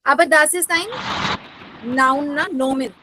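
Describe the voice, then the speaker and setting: A woman's voice: high voice, slow, pushed and bright, a little hiss or hum. A woman with an Indian accent teaching an online language class, prompting learners with short questions and tags.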